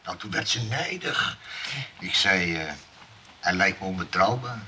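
A man's voice: low-pitched, lively intonation, a little slow, pushed and bright, exaggerated voice. A man acting in a comic radio play as an earnest, wary lodger who tells things hesitantly. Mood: earnest, scheming. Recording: slightly noisy old radio play.

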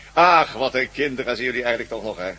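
A man's voice: medium pitch, bright, forceful tone, husky. An actor playing a commanding villain captain in a radio drama, mocking and sardonic, gloating over his plans to rule the world. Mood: scornful. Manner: mocking, sardonic, barks orders.

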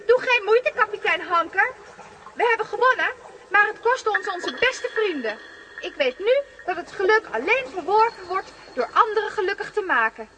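An actress playing a plucky, good-hearted young girl with an excitable manner in a children's radio play. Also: extremely high pitch, moderate pace, full character voice, poor audio. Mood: earnest. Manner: excitable, exclaiming.

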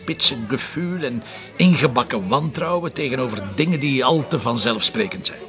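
A man's voice: medium pitch, animated, brisk, music in the background, lightly acted. A man playing a stubborn, wry, distrustful police commissioner with a Flemish accent in a radio drama, speaking in a sardonic, contrary way. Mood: insistent. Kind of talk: naturalistic radio drama dialogue.

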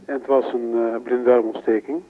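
A calm, courteous doctor in a radio play. He is heard through a narrow, tinny phone filter at a medium pitch. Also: moderate pace. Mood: calm, reassuring. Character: courteous, reassuring doctor reporting by telephone. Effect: telephone filter. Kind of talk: Dutch radio drama acting. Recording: tinny, narrow telephone line.

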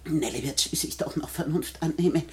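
An actress playing a rich, shrewd old widow in a 1960 German radio play, deliberate and wry, with halting repetitions. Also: female voice, low-pitched, lightly acted. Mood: warning, knowing. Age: older.

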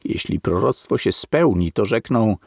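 A man narrating a philosophical text in a solemn, deliberate, sermon-like reading. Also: on the low side, lively intonation, creaky, darkish tone, no room sound, studio quality. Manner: slow, weighty and deliberate, like a sermon.

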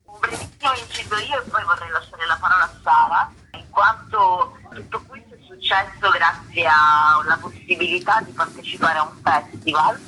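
A woman's voice: fairly low pitch, moderate pace, sharp tone, a little hiss or hum, low-quality recording. A woman interviewed as an actress and playwright, talking casually and wordily, rephrasing as she goes. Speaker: actress and playwright interviewed about her show.

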